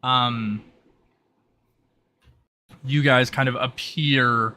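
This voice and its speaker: A man narrates a roleplaying scene as game master. His voice is very bright and forward, with casual, halting phrasing. A faint noise sits behind him. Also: medium pitch, steady tone.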